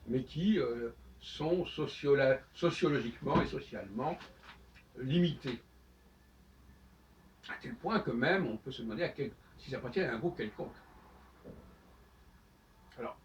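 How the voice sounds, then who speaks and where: A man giving a spoken lecture on his own, talking in halting bursts with many restarts. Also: mid-range voice, a little hiss or hum. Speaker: host. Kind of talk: lecturing monologue. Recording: dull sound, from far off the mic.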